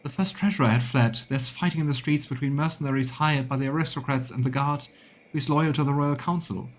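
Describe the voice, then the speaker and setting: A man's voice: medium pitch, steady tone, brisk, natural voice. A man voicing a haughty noblewoman courtier turned deferential, reading video game dialogue in a formal, courtly manner. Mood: grave, formal.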